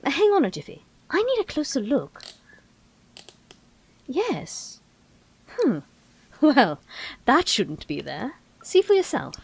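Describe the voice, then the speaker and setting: A woman's voice: high-pitched, huge pitch swings, slow pace, gravelly, pauses often, exaggerated voice. A woman playing an eccentric, breezy, brainy time-travelling Doctor in an audio drama, chatty and whimsical, with quaint turns of phrase. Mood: curious.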